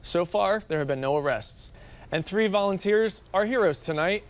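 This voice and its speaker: A man's voice: high-pitched, animated, moderate pace. A man with an American accent reading scripted television news as an anchor.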